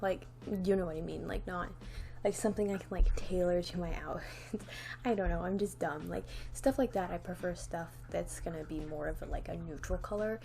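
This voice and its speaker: A woman's voice: fairly low pitch, a little fast, vocal fry, warm tone. Young woman with a slight lisp, rambling. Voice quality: slight lisp from a new retainer. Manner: rambling, many "like"s and restarts, light laughs. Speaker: vlogger. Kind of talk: casual chat to camera.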